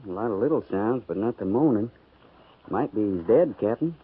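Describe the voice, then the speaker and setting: Folksy, grumbling cavalry trooper, old radio. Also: male voice, lowish voice, animated, normal speed, breathy edge. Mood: wary. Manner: folksy drawling grammar, wry. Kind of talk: 1950s radio western acting. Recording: muffled, noisy 1950s radio recording.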